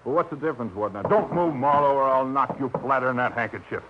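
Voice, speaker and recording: An actor playing a tough, red-faced gunman in a 1940s radio drama, talking in a gruff, snarling way. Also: mid-range voice, fast talker, natural voice. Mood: threatening. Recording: dull old radio recording with faint hiss.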